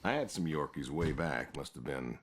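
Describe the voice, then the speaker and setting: An actor playing a weary, stubborn old American pig farmer in a video game, speaking in a subdued, laconic, plain way. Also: male voice, lively intonation, moderate pace, heavily acted. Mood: plain, reminiscing. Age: older. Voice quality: low, gravelly. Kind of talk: naturalistic video game dialogue.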